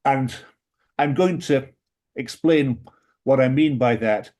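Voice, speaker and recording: A British man delivering a careful, lecture-style argument in a middle-pitched voice. He stresses key words with sharp upward lifts, then drops low and quiet for wry, dry asides. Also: takes it slowly. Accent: British English. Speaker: panelist in an academic book discussion. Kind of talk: prepared philosophy talk.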